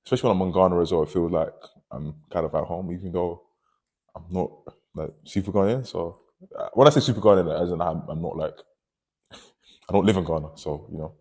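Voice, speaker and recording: A man talks in a very deep, mellow voice. He speaks quickly but hesitantly, with frequent ums and uhs. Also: husky. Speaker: panel guest. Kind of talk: casual discussion.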